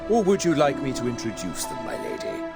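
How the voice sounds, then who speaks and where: A man's voice: mid-range voice, huge pitch swings, brisk, gravelly. A man playing a courteous, unflappable manor butler in a video game, formal and deferential in manner. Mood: courteous, composed. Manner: deferential, ceremonious. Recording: music behind the voice.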